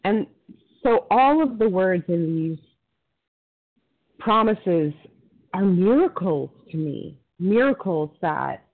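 A woman's voice: fairly low pitch, lively intonation, slow, pauses often. A woman from Florida, speaking with heartfelt emphasis and building lists. Her voice comes through a narrow, phone-like line. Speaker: meeting participant. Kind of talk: sharing at a phone support meeting.

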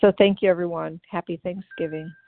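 Earnest woman, low gravelly voice, halting grateful share over a tinny dry phone line. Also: fairly even intonation. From Ohio, US. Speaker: meeting member sharing. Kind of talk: personal recovery share. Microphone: phone call.

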